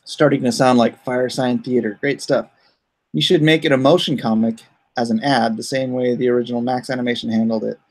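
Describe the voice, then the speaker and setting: A man's voice: medium pitch, steady tone, fairly quick. A man hosting a casual livestream, rambling and easygoing as he thinks aloud. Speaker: livestream host and comic writer.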